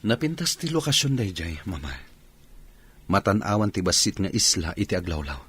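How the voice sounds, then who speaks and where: A man's voice: low voice, sing-song, normal speed, a bit bright, slightly rough, heavily acted. A man acting a proud young job-hunter from a fallen hotel family in an Ilocano radio drama. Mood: persuading.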